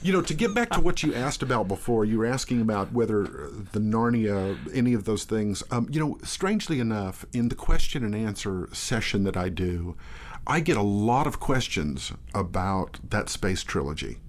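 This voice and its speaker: A man talking as a guest in a casual in-depth discussion, often restarting his phrases in a halting way. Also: lowish voice, very animated, a little hiss or hum. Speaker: guest, theatre artistic director and performer.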